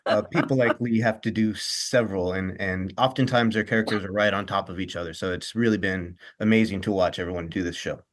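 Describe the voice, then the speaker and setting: A man's voice: low-pitched, fairly even intonation, a little fast, few pauses. A man who acts on stage, chatting in an interview in an earnest, reflective way. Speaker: stage actor, guest. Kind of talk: interview chat about a stage role.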